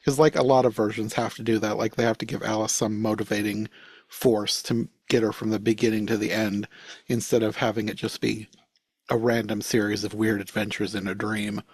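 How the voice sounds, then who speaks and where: A man's voice: on the low side, flat delivery, brisk, dry recording. A man talking casually as a panelist in a podcast discussion.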